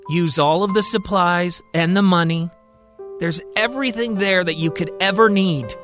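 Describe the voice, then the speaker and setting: A man's voice: high-pitched, moderate pace, a bit bright, pitched above the performer's own voice. Kindly male mentor, played by a male storyteller, generous, assured, instructive, audio story, music behind. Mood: encouraging. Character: kindly, generous male mentor handing over his legacy.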